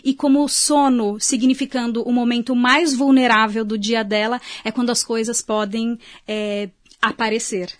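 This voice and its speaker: High-voiced woman with São Paulo accent. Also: fairly even intonation, normal speed, few pauses. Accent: São Paulo Brazilian. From São Paulo, Brazil. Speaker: guest, child sleep consultant. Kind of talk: conversational interview answers.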